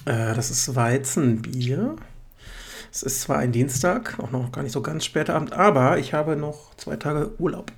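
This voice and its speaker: A man's voice: fairly high pitch, moderate pace, gravelly. Nasal man co-hosting a chatty podcast. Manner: chatty, laughs often. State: nasal from a recent cold. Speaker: podcast co-host. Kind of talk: casual chat.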